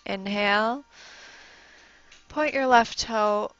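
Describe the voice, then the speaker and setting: Soft-spoken woman leading a slow yoga class. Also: medium pitch, dull audio, faint music behind. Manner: gentle, encouraging cues with breathing prompts. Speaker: yoga instructor. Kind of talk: guided yoga class instruction.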